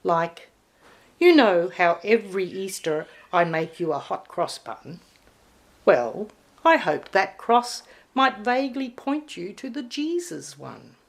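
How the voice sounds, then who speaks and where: A woman's voice: medium pitch, lively intonation, slow pace. A woman voicing a cheerful, sheepish male Easter Bunny in a storybook poem, chummy and casual. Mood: sheepish, explaining.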